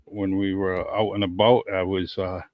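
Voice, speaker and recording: A man hosting his own podcast in a loose, rambling way, doubling up words as he goes. His voice sits very low, and the recording is dry and close, with no room sound. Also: level tone, normal speed, no echo, muffled recording. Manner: warm, rambling, repeats words, many "um"s. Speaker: podcast host. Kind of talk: casual interview chat.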